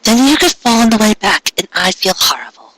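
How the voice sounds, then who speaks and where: A man's voice: high-pitched, sing-song, fairly quick, sharp tone, no room sound, voice pushed up, full character voice, low-quality recording. A man voicing a shy, sleepy young female pony who speaks sweetly and hesitantly, with stammers, in a storybook reading. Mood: tired, worried.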